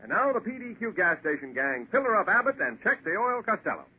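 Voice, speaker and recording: Animated 1940s male radio announcer. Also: high voice, quick pace, husky. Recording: tinny, faintly noisy 1940s radio recording.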